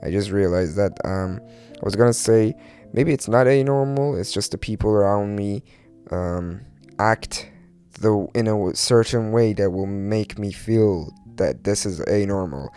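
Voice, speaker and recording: Guy with a lowish voice doing a solo podcast, hesitant confessional rambling, kinda down on himself, music in the back. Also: warm tone, clear, resonant tone. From Iran, later Turkey. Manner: hesitant, halting, self-deprecating, swears casually. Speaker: podcast host.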